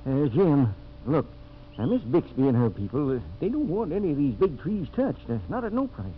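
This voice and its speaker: An actor playing an honest, plain-spoken backwoods sidekick with a rustic American accent, drawling earnestly in a 1950s radio drama. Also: male voice, a little high, very animated, moderate pace. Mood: earnest, pleading. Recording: noisy, muffled vintage radio recording.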